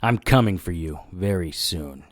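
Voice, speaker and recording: A man's voice: low voice, animated, measured pace. A man playing a terse, hard-boiled private investigator who narrates his own noir audio drama with grim intent. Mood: vengeful.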